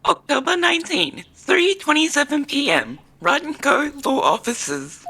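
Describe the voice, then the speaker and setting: A woman's voice: sits high, very animated, unhurried, pushed and bright, heavily acted. A woman playing a scene-setting announcer in a live game dub, reading out captions in an exaggerated way. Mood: announcing. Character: on-screen caption reader announcing dates and places. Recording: noisy mic with keyboard clicks.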